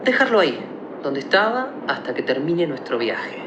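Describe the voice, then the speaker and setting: A man's voice: on the high side, very animated, normal speed, echoey room, highs cut off, noisy background. An actor playing an earnest young man in a radio play, reasoning out a mystery in an emphatic, explanatory way. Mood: serious. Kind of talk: theatrical radio play acting.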